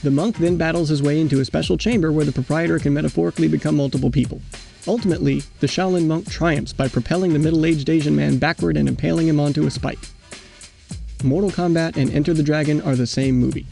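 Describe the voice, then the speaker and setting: Quick deadpan guy narrating, lots of fry, kinda mellow, formal wording. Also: fairly high pitch. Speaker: video narrator. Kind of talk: scripted deadpan comparison narration. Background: faint background music.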